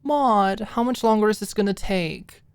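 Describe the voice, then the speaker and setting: A woman's voice: mid-range voice, lively intonation, normal speed, clear, resonant tone, no room sound, voice pushed up, natural voice. A woman playing a guarded young woman with a teasing, wry wit in an audiobook. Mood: whining, defeated.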